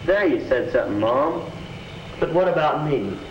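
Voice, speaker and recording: A man's voice: fairly high pitch, animated, moderate pace, lightly acted. A young male actor playing a casual, skeptical American teenage son in a 1950s educational film. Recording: muffled, hissy 1950s film soundtrack.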